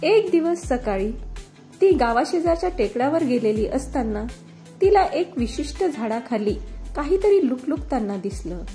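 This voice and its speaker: Bright-toned lively woman storyteller, mellowed edge. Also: high voice, moderate pace, soft background music. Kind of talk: scripted narration of a moral story.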